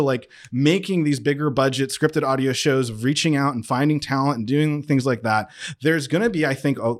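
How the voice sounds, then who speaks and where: A man speaking as an interview guest, arguing with conviction in a conversational way, emphatic and often interrupting himself to rephrase. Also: medium pitch. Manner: earnest, emphatic, self-interrupting with repeated phrases. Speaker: interview guest, comedy and audio writer. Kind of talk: conversational interview, impassioned argument.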